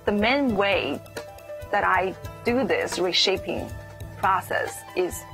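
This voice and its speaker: A woman from China with a Chinese accent, speaking thoughtfully in an interview, pausing between phrases. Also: medium pitch, creaky. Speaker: musician. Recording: soft music underneath.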